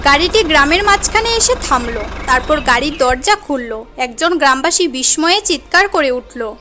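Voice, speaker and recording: A woman's voice: sits high, animated, moderate pace, background noise. A woman narrating a moral cartoon tale in an earnest, dramatic storytelling manner.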